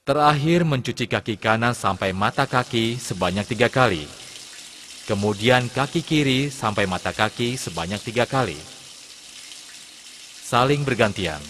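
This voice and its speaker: A man, an Islamic religious teacher, giving measured step-by-step instruction in a scripted lesson narration for children. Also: mid-range voice, animated, fairly bright tone. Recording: long hall reverb, clear background noise.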